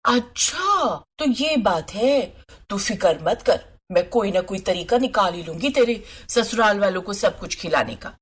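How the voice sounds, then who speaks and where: Woman doing a clever auntie in a cartoon family tale, reassuring and confiding, middling pitch. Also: expressive, a little slow, husky. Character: reassuring, clever aunt of a young bride. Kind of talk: story character voice.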